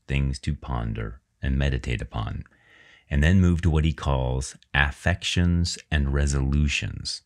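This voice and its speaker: A man narrating a guided religious meditation in a measured, reverent way with unhurried pauses. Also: very deep voice.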